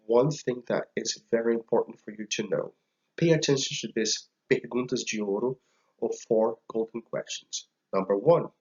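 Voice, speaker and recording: A man teaching a recorded slide lesson, speaking deliberately and repeating each phrase for learners to follow. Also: medium pitch, highs cut off. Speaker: language teacher.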